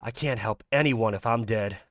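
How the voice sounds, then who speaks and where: A man's voice: on the low side, normal speed, fairly bright tone, no room sound, close to an everyday voice. Horror visual novel lead: man acting a frightened, self-interested guy, dry close sound. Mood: anxious, cold. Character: panicked, self-serving man in a horror story. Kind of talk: visual novel character reading.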